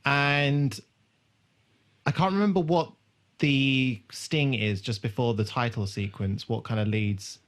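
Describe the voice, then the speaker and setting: A man's voice: mid-range voice, steady tone, takes it slowly. Casual male podcast co-host. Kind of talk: casual rewatch podcast chat.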